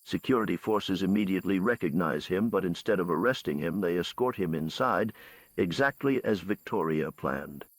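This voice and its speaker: A male storyteller with a low voice reads a scripted bedtime story. His delivery is measured and dramatic. The recording is dry, with little room sound. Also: lively intonation, no room sound.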